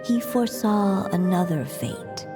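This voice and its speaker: A woman voicing a solemn storybook narrator in a video game, telling a fairy tale in a measured manner, with a long reverb. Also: medium pitch, animated, over music, close to an everyday voice. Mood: solemn, foreboding.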